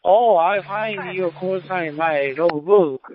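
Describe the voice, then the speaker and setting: A man with a Japanese accent speaking halting, polite English as an amateur radio operator over a digital two-way radio, often repeating himself. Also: sits high, very noisy. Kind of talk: formal radio contact exchange. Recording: tinny narrowband digital radio transmission.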